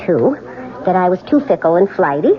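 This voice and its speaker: An actress playing a chatty, flighty, concerned friend with a gushing, hesitant manner in a radio drama. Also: female voice, on the low side, sing-song, normal speed. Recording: muffled old radio broadcast.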